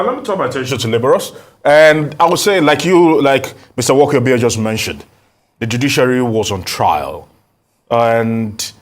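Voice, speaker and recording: Nigerian man hosting a panel show, medium pitch, moderating the discussion. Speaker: host.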